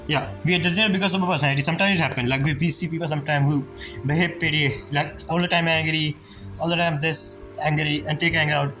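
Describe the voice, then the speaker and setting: Insistent, quick-talking male gamer. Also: fairly high pitch, steady tone, sharp tone, poor audio. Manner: insistent, repetitive. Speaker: gamer commenting on a playthrough. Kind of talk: casual reaction commentary. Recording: game music behind the voice.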